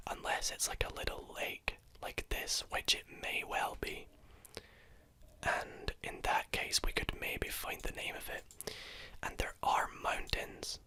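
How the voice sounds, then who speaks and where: Slow, crisp whispering game commentator. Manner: soft, musing, thinks aloud while exploring. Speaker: video host playing a geography guessing game. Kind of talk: whispered ASMR gameplay commentary.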